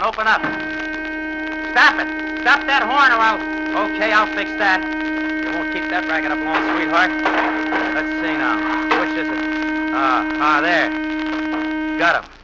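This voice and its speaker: A man's voice: fairly high pitch, close to an everyday voice. Man acting a menacing husband in muffled vintage radio. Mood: frenzied threatening. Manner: menacing, sardonic, taunting with pet names. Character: bitter, murderous husband confessing his crime in a letter. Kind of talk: 1940s radio thriller acting and first-person narration. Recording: muffled old radio recording with loud music.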